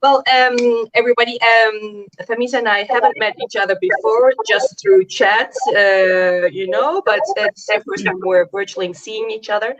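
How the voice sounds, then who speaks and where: A woman's voice: mid-range voice, fairly even intonation, moderate pace, few pauses, highs cut off, a crowd in the background. A woman hosting a casual live interview over a video call, chatty and hesitant with many fillers. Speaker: host. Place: home, early morning.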